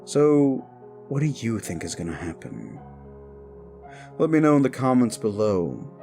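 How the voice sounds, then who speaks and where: A man speaking to his audience as an audio roleplay creator, with theatrical, deliberate phrasing. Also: medium pitch, wildly swooping pitch, creaky, dark tone, soft background music. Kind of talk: outro addressing the audience.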